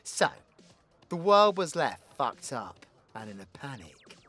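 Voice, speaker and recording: Man playing a swaggering British supervillain, animated delivery. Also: mid-range voice, slow pace, heavily acted. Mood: scornful, dramatic. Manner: rambling, teasing, dramatic storyteller, sweary. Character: cocky, foul-mouthed, flirtatious male supervillain, theatrical and tipsy. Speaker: audio roleplay voice actor. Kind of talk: villain ASMR roleplay monologue. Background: background music.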